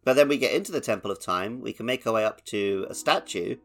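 A man's voice: fairly low pitch, fast, a bit bright, faint music behind. A man co-hosting a podcast, chatting casually about playing video games. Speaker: podcast co-host.

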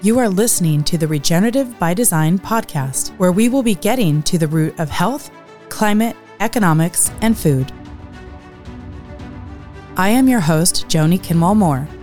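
A woman's voice: on the low side, expressive, normal speed, darkish tone, soft background music. Woman hosting a podcast. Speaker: podcast host. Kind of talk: podcast introduction and interview.

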